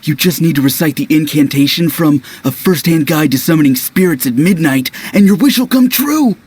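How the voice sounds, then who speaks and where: A man's voice: a little high, animated, moderate pace, a little mellow, breathy edge. An actor playing a gossiping young townsman in a game dub, eager to share the latest rumor. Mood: gossipy.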